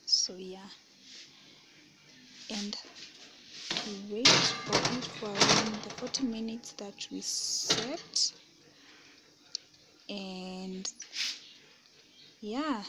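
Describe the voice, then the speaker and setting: A woman narrating her home baking video in a relaxed, soft-spoken way, often half-whispering. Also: medium pitch, noisy background. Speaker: baking vlogger. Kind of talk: casual kitchen voice-over. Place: home kitchen.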